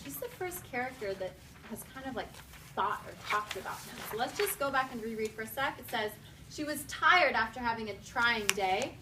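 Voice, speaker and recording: A woman's voice: a little high, moderate pace, distant mic. A woman teaching a class, giving clipped instructions and reading aloud expressively. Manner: directive, clipped commands alternating with expressive reading. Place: school classroom with pupils. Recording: noisy classroom, voice off the mic.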